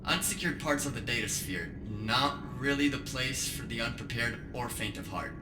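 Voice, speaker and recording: An actor playing a brash, sardonic cyberpunk hacker guide, talking casually in an audio drama. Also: male voice, mid-range voice, moderate pace, close to an everyday voice. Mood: wry, explaining. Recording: echoey space, very loud music. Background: loud music.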